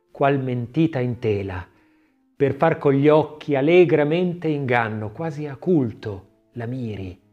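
A man's voice: mid-range voice, lo-fi sound. Theatrical male literary narrator over music. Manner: theatrical, declamatory, swelling and dropping to hushed phrases. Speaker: audiobook narrator. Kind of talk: dramatic literary reading of poetry and scripted narration.

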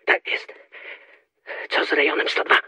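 An actor playing a gruff, agitated conspirator in a radio drama, raspy and clipped, through a telephone filter. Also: male voice, unhurried, bright, forceful tone. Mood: tense. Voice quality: very raspy, gravelly.

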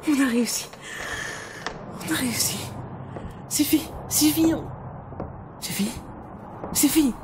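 A woman playing a strong-willed, sardonic book editor in an audio drama, halting and expressive. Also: high voice, background noise. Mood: shaken. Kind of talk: French audio drama acting. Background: music.

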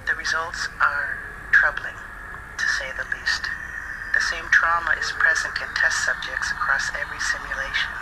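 An actor playing a troubled scientist reporting grim findings, grave and urgent. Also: male voice, on the high side, fairly even intonation, normal speed, heavy background noise, lightly acted.

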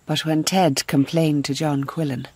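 A composed Mother Superior narrating a radio play. Her voice is low for a woman, and she unfolds detailed description in a measured, observant way. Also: flat delivery, darkish tone, breathy edge. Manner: measured, literary storytelling. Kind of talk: scripted radio drama narration.

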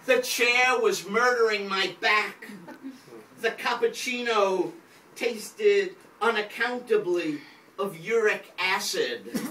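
A man's voice: high voice, pushed and bright. An older man, a poet, giving a wry live poetry reading, projecting to a small room. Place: small crowded indoor venue, on stage. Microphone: distant camera from the audience. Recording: roomy, distant audience recording with background noise.

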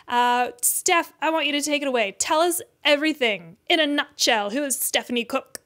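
A woman's voice: high voice, normal speed, a bit bright. A woman in her thirties hosting a podcast interview in an upbeat, chatty way.

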